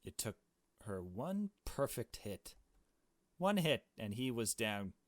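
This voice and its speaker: A man with a fairly low voice reads a visual novel aloud as a let's player. He shifts between narration and casual commentary, and the recording is close and dry. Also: big pitch swings, slow pace, no echo.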